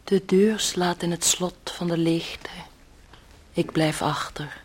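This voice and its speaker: A woman acting as a lonely, brooding woman left at home, in a hushed radio drama monologue. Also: on the low side, fairly even intonation, slow, heavy background noise. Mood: desolate.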